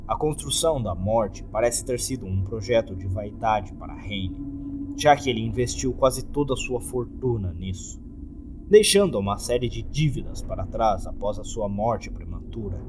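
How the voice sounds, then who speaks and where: A Brazilian man voicing a gloomy, courteous hotel keeper in a formal, measured, scripted horror narration. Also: medium pitch, darkish tone, close to an everyday voice. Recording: music under the voice.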